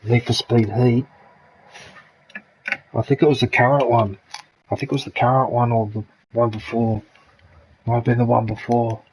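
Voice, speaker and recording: A man's voice: medium pitch, normal speed, long pauses, rough recording. Rambling man in his fifties. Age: 50s. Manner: chatty, rambling, trailing off mid-sentence. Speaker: gaming channel host. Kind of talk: casual chat while gaming. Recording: steady background noise.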